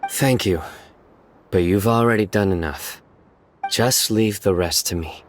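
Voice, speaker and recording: A man playing a stern, stoic young enforcer of justice in a video game, speaking in a measured, solemn way. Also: lowish voice, a little hiss or hum, natural voice. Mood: stern, resolved. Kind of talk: dramatic video game dialogue.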